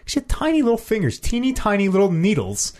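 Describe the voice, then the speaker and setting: A slightly hoarse man co-hosting a podcast, rambling and swearing casually while acting out little quotes. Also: high voice, huge pitch swings, quick pace, darkish tone. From Canada. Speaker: podcast co-host. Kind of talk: casual chat.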